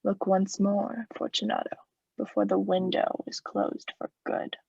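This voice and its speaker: A woman's voice: medium pitch, little pitch movement, measured pace, gravelly, darkish tone, slightly rough, no echo, dull audio, heavily acted. A woman acting a cold, vengeful murderer in a radio play, speaking with formal, sardonic politeness as he mocks his victim. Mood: gloating.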